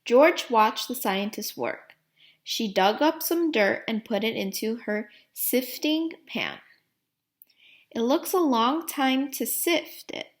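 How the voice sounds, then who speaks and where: Lady doing a storybook read aloud for kids, highish voice, really animated, asks questions. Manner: simple, deliberate phrasing with questions to young listeners. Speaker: teacher reading aloud to students.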